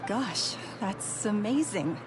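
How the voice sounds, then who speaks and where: A woman's voice: medium pitch, lively intonation, slow pace, music in the background. A woman playing a timid, apologetic young woman in a video game, with a hesitant, earnest delivery. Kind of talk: video game character acting.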